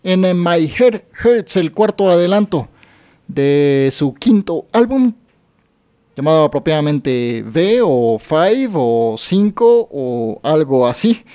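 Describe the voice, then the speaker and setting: A man hosting a music radio show, introducing songs in a relaxed, casual way with frequent "eh" pauses. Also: a little high. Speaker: radio DJ and host.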